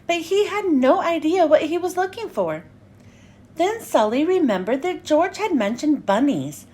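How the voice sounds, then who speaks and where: A woman reading a children's storybook aloud as host, with animated, clearly enunciated storytelling. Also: very high-pitched. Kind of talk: expressive storybook narration for young children.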